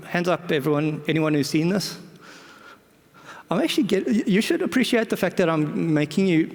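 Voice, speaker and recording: A man with a slightly high-pitched voice speaks to a conference in a loose, conversational way. His delivery is informal and wryly humorous. The sound carries the long reverb of a large indoor hall.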